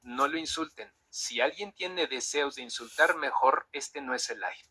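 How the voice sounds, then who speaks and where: A man's voice: medium pitch, a little slow, pushed and bright, slightly rough. A man hosting and moderating a live debate, giving instructions to a speaker and the audience. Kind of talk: spoken moderation, addressing preacher and audience. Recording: live internet stream.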